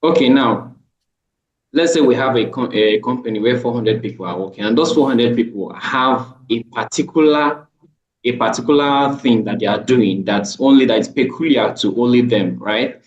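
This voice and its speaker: A man's voice: medium pitch, moderate pace. Emphatic man, dull echoey sound. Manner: emphatic, with frequent "right?" tags. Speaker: panelist. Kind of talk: panel debate.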